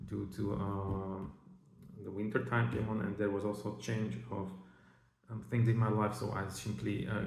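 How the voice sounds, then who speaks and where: A man giving informal spiritual teaching and personal testimony in halting, nonnative phrasing. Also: on the low side, creaky, a little mellow. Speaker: religious teacher. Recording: roomy, echoing voice recording.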